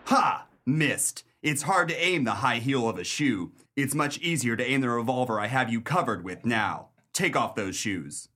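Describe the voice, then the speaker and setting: A man's voice: medium pitch, animated, normal speed, hardly any pauses, pushed and bright. A man playing a dogged, bitter police detective in a 1930s pulp crime radio play, with a wry, tough-talking manner. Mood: menacing.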